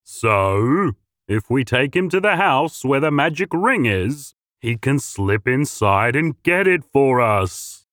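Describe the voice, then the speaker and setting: A man doing a loud, clever old mutt dog. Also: medium pitch, sing-song, slow, no room sound, studio quality. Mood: scheming. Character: scruffy clever male mutt dog, gruff and firm.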